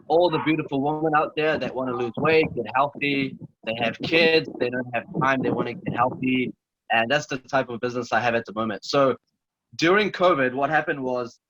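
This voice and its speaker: A man's voice: medium pitch, fairly bright tone, no room sound, lo-fi sound. A man from Chechnya, a guest in a casual video-call interview, talking in an earnest, motivational way and often repeating himself.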